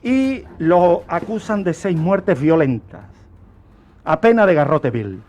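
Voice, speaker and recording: A man's voice: a little high, sing-song, takes it slowly, a little mellow, soft background music. A man from Spain with a Spanish accent presenting a true-crime radio segment in a didactic way, laying out a case and putting questions to listeners. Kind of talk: semi-scripted explanation of a crime case.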